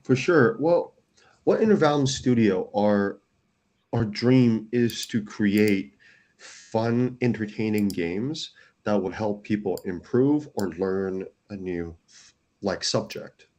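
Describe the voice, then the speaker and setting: A man's voice: fairly low pitch, slow pace, darkish tone. A man, a startup founder, earnestly explaining his company as an interview guest, often restarting his sentences. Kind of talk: casual interview.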